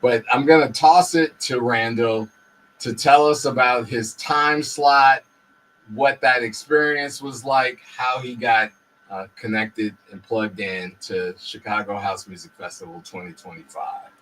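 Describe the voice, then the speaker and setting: A man hosting a radio show from a studio, talking in a relaxed, measured way. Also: mid-range voice, far from the mic, low-quality recording. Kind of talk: casual radio chat.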